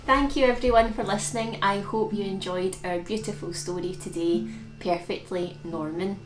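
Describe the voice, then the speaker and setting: A woman's voice: mid-range voice, steady tone, moderate pace. A woman reading a picture book aloud for young children as storytime host, expressively and with dramatic emphasis on key words. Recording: roomy echo with plucked guitar music.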